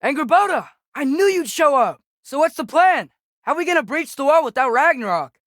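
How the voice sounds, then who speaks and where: A man's voice: very high voice, big pitch swings, moderate pace, a bit bright, no echo, clean studio sound, full character voice. A young male actor playing an earnest, restless teenage boy adventurer with an American accent in a video game. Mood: urgent, desperate. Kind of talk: video game character dialogue.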